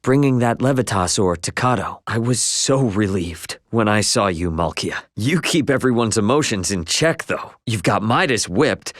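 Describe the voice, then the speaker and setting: A man acting a brash but friendly young spacefaring adventurer in a video game, with a casual, expressive, slangy delivery. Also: mid-range voice, dry recording, studio quality, lightly acted. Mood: sincere.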